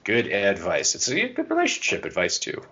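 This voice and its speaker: A man's voice: lowish voice, wildly swooping pitch, moderate pace, pushed and bright. A man co-hosting a podcast, chatting casually and informally as he shares advice and anecdotes. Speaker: podcast co-host. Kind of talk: casual chat giving gaming advice. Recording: dull, muffled lo-fi podcast audio.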